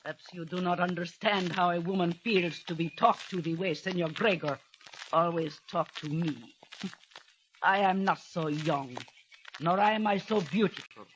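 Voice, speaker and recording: An actress playing a vengeful middle-aged woman, proud and measured, in an old radio drama. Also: on the low side, close to an everyday voice. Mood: bitter. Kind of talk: 1950s radio drama acting. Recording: noisy lo-fi old radio recording.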